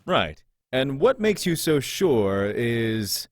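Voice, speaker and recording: A man's voice: medium pitch, sing-song, takes it slowly, no room sound. A man playing an irritable, foul-mouthed security guard in a visual novel, sneering and impatient. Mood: skeptical.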